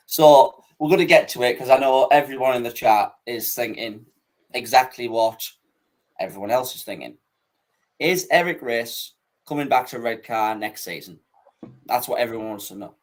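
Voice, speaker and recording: A male host of a live online talk show, with a mid-pitched voice. He moves the chat along with teasing banter and jokes at his guest. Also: moderate pace, poor audio. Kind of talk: casual live interview.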